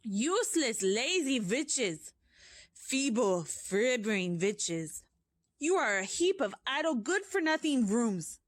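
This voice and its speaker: Grand High Witch, as a woman puts her on, screeching. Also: sing-song, no room sound. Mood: shrieking rage. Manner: shrieking, loud, drawn-out words. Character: furious, tyrannical female witch leader, shrieking. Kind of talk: audiobook character voice.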